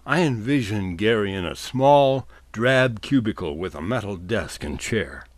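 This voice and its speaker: A man delivering a wry scripted comic monologue with deliberate, dramatic pauses. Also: fairly low pitch, animated, no echo. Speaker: storyteller.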